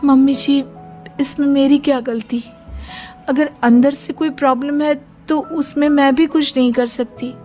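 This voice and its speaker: A woman's voice: high-pitched, fairly even intonation, measured pace, darkish tone, faint music behind, pitched above the performer's own voice. A woman voicing a distressed young wife, pleading earnestly in a family story.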